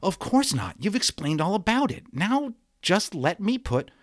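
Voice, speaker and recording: A man voicing a headstrong, excitable young boy. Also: high-pitched, a little slow, no echo, clean studio sound, pitched above the performer's own voice, full character voice. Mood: eager, insistent. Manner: insistent, impulsive.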